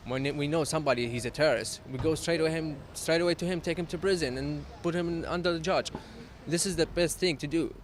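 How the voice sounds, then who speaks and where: A man's voice: fairly high pitch, fast. Blunt Iraqi-accented man in a street interview. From Iraq. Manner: blunt, non-native grammar. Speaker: member of the public interviewed. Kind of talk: plain-spoken street interview. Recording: outdoor street noise behind.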